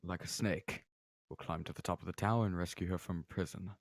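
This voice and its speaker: A man playing a grim, terse knight who speaks quietly and dryly at a screenplay table read. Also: low voice, fairly even intonation, a little fast, gravelly, no echo. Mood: dark, calm. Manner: quiet, dry, clipped.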